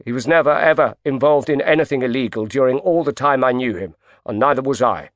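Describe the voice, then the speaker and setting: A man's voice: medium pitch, animated, brisk, fairly bright tone, dry recording, close to an everyday voice. A man voicing a heavy, proud older male financier whose speech moves between choked grief and indignation. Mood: indignant.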